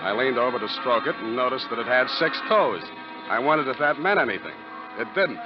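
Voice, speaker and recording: Private detective, male actor: wry, wordy wisecracker with deadpan timing, 1940s radio style on a dull, dated recording. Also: mid-range voice, fairly quick, over music, natural voice. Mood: wry, dry. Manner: deadpan, mock-pompous, wry.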